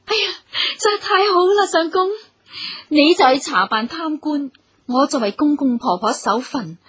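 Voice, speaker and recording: High-voiced devoted young wife. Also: female voice, lively intonation, normal speed, dry recording. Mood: eager. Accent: Cantonese. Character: devoted young noblewoman wife, gentle, scheming kindly. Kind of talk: Cantonese radio drama acting. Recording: music under the voice.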